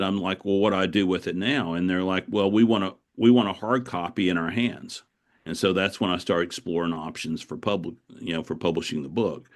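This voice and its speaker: A middle-aged man speaking as an author in a casual, reflective interview, circling back as he explains. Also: sits low.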